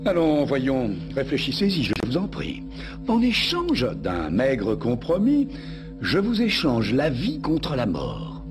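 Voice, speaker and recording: An actor playing a scheming, theatrical villain in a dubbed cartoon, pompous and full of mock courtesy. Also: male voice, mid-range voice, wildly swooping pitch, slow. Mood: coaxing. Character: scheming villain, suave, theatrical, gloating male tyrant. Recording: music under the voice.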